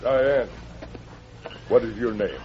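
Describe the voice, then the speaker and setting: An actor as stern radio western villain chief, muffled. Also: male voice, medium pitch, takes it slowly, natural voice. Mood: commanding. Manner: terse, broken English phrasing. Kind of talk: 1940s radio adventure acting. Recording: muffled old radio recording, faint noise.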